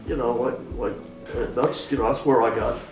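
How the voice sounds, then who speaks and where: A man's voice: mid-range voice, steady tone, a little fast, music in the background. Older man rambling in a roomy showroom. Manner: rambling, repeats himself. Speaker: hi-fi shop client. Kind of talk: casual chat. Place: hi-fi showroom, indoors.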